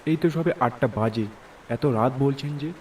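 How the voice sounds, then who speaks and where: A man's voice: mid-range voice, normal speed, a little mellow, recorded from a distance, a little hiss or hum, close to an everyday voice, rough recording. A man playing a confident, sceptical young man in a horror cartoon, casual and questioning. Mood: sceptical, brisk.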